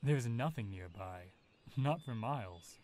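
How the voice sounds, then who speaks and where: A man's voice: medium pitch, expressive, unhurried, darkish tone, a little hiss or hum, close to an everyday voice. A man playing a friendly, persistent forensic detective in a comic dub, speaking in an eager, familiar way. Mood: businesslike. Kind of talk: amateur comic dub dialogue.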